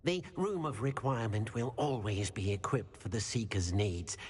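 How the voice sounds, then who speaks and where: A man's voice: on the low side, moderate pace, a little mellow, natural voice. An actor playing a polite, eager male house elf in a video game, speaking deferentially of himself in the third person. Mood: earnest, explaining. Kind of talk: video game character acting. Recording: long hall reverb, faint background noise.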